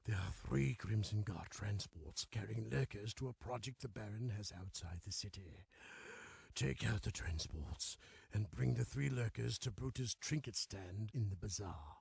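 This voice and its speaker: A man playing a greedy, sly older crime boss in a video game, wheezy and gravelly, with an oily, pompous delivery and a habitual "eh?". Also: fairly low pitch, moderate pace, darkish tone, no echo, heavily acted. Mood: scheming, smug. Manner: oily, pompous, ends phrases with "eh?". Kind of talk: video game cutscene acting.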